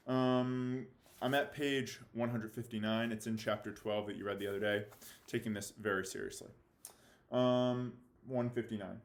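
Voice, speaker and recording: A man speaking as a fifth-grade teacher giving a read-aloud lesson, halting and theatrically mock-serious in his delivery. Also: on the low side. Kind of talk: read-aloud lesson for children, reading a novel with commentary. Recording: echoey room.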